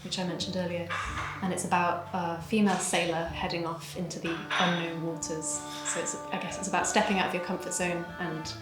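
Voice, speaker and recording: A singer-songwriter woman from North Wales, whose first language is English, answering interview questions in a thoughtful, soft-spoken way with frequent pauses. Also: lowish voice, little pitch movement. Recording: roomy space with music behind.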